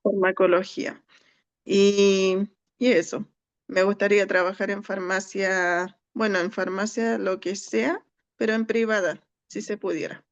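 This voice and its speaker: A woman's voice: mid-range voice, little pitch movement, measured pace. A woman introducing herself plainly and modestly as a student in an online class. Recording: dull lo-fi audio.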